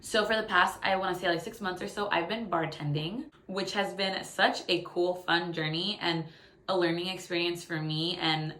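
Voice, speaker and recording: A woman's voice: on the low side, steady tone, moderate pace, fairly bright tone. A woman in her late twenties giving a chatty, candid personal update to her phone in a living room, in a casual vlogger style. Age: late 20s. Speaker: YouTube vlogger. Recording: echoey room sound on phone.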